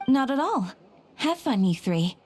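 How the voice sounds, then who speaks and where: An actress playing a composed, helpful woman receptionist in a video game, measured and polite with calm concern. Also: sits high, husky, heavily acted. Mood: pleasant. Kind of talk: video game character acting.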